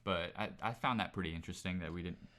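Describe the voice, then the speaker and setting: Male gaming podcast co-host, somewhat low voice, informal and conversational. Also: fast, sharp tone. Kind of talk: casual gaming chat.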